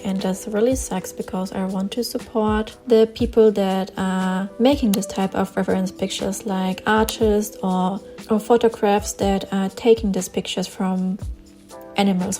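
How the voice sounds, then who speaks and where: A woman's voice: medium pitch, mellow. A woman with a German accent, a non-native English speaker, giving her views earnestly while she draws for her channel, sometimes searching for words. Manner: earnest, plain-spoken, with small word searches and repeats. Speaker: artist vlogger. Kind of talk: casual talk over a drawing session.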